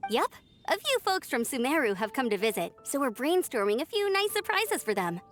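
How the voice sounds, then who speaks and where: A woman's voice: sits high, sing-song, fairly quick, soft background music. A woman voicing a friendly, eager young female knight in a video game, with a breezy, enthusiastic manner. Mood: cheerful.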